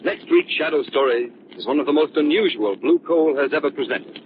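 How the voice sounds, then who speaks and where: A man's voice: a little high, expressive, brisk. A man announcing in the scripted style of a 1930s radio programme. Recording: tinny, hissy 1930s radio broadcast.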